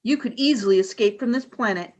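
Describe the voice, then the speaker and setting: A woman's voice: fairly high pitch, a little fast, close to an everyday voice. An actress playing a cold, commanding female alien leader who gives stern, clipped orders in a radio play.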